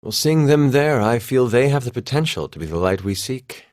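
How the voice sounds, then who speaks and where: An actor playing a courtly nobleman in an anime game dub, speaking in a measured, formal and lofty manner. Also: mid-range voice, lively intonation, darkish tone, close to an everyday voice. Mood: serene, solemn.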